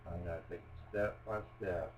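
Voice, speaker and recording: Low-voiced man chatting while building. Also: fairly even intonation, brisk, a little hiss or hum. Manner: rambling, self-deprecating, many fillers and restarts. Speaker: hobbyist building a Lego set on video. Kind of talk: casual running commentary while building. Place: at home, on a table, with a cat nearby.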